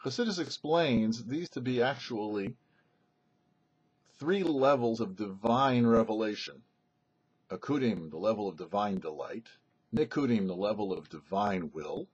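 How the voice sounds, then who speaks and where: A man, a rabbi, presenting religious commentary on Torah in a deliberate, explanatory way with emphatic phrasing. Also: lowish voice, lively intonation, slightly rough, lo-fi sound. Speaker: rabbi presenting a weekly Torah portion show. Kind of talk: religious teaching, scripted-sounding Torah commentary.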